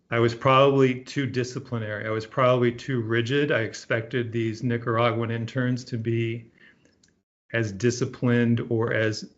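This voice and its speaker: Earnest, reflective man, slightly muffled. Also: medium pitch. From Puerto Rico. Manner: earnest, thoughtful, many ums and restarts. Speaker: guest, emergency physician. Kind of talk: reflective interview conversation.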